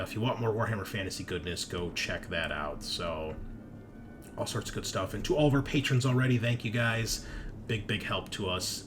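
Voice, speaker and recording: A man's voice: deep voice, background noise. A man hosting a tabletop roleplaying show with casual, chatty, informal intro banter and some swearing. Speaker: host and game master of a tabletop show. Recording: music playing behind the voice. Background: music.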